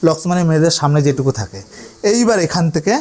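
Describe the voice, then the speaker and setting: A man's voice: fairly high pitch, animated, fast talker, a little mellow. A man giving a hands-on lesson as a hairdressing instructor, repeating his phrases and often checking that learners follow. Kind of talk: hands-on tutorial to trainees.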